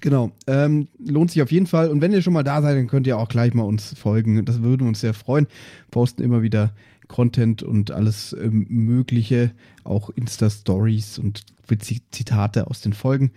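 A man's voice: mid-range voice, fairly even intonation, moderate pace, mellow, no room sound, studio quality. A man co-hosting a podcast, talking in a casual, chatty style. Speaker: podcast host. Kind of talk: casual chat.

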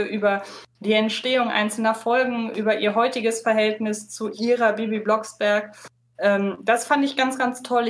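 Chatty woman, podcast guest, mid pitched voice, enthusiastic, just talking casually. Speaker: podcast guest, fan podcast co-host. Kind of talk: casual interview chat.

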